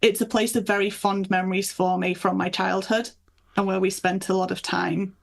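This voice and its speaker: A woman's voice: mid-range voice, level tone, moderate pace, vocal fry. A woman from the north of England and Michigan, chatting in an anecdotal, conversational way as an author being interviewed. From north of England and Michigan, USA. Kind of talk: casual interview chat.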